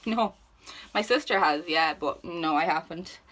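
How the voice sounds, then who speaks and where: A woman's voice: lowish voice, measured pace, fairly bright tone, low-quality recording. Chatty young Irish woman vlogging. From Ireland. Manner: chatty, informal, self-deprecating, exclamatory. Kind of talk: casual chatty question-and-answer vlog. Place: indoors at home, sitting on the floor.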